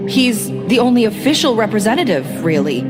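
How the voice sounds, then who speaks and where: Indignant young witness. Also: female voice, a little high, big pitch swings, a little fast. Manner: accusing, indignant. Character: bitter young woman witness, accusing. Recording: game audio with loud music behind.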